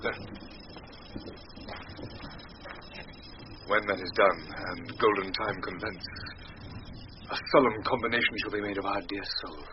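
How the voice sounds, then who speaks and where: An actor playing a noble, romantic duke, speaking in a stately, courtly way in classical radio drama. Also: male voice, low-pitched, normal speed, close to an everyday voice. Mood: commanding, content. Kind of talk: classical Shakespearean radio acting. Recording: dull, noisy old radio broadcast.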